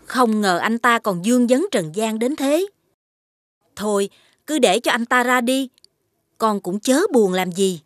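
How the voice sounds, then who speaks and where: A woman voicing a dignified, authoritative fairy mother in an animated fairy tale, stately in manner. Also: on the high side, measured pace, pauses often, dry recording. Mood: resigned.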